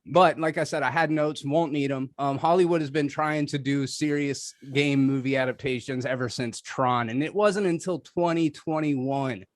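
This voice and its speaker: A man's voice: medium pitch, normal speed, hardly any pauses, no room sound. A man making a casual, off-the-cuff debate argument with a sarcastic, wry manner. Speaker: debate contestant, film podcaster.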